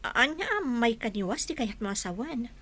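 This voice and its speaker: Bright, high-voiced devoted wife. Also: female voice, sing-song, normal speed, no echo. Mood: defensive, uneasy. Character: devoted, trusting wife with creeping suspicion. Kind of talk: Ilocano radio drama acting.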